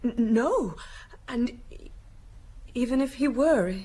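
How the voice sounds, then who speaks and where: An actress playing a fragile, anxious young woman in a radio drama, hesitant, breathy and overwrought. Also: on the high side, animated, slow pace, mellow, full character voice. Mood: hesitant, troubled. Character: anxious, fragile young sister of a missing man.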